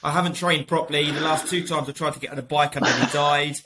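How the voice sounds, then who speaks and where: A young British man co-hosting a podcast, congested from a cold, talking in an animated, jokey way with casual swearing. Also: a little high, fast talker, very noisy. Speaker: podcast co-host and personal trainer.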